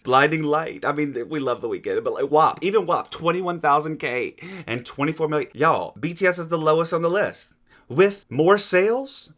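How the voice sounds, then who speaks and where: A man's voice: a little high, moderate pace, a bit bright. A man giving casual, emphatic reaction commentary, stretching words for effect and swearing freely. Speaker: reaction video host.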